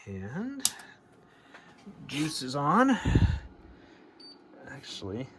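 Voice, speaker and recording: A man's voice: on the high side, wildly swooping pitch, very slow, fairly bright tone. A man giving a casual hands-on demo and calling out as a CB radio hobbyist. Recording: clear background radio noise.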